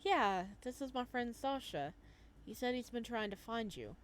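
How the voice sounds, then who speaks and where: A woman's voice: a little high, animated, moderate pace, pitched above the performer's own voice, natural voice. Friendly, protective woman, voiced by a reader, noisy background. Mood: pleading.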